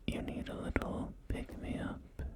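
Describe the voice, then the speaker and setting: A man whispering an ASMR roleplay as a polite, helpful real estate agent, in gentle, unhurried sales patter, with music behind him. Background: loud music and game audio.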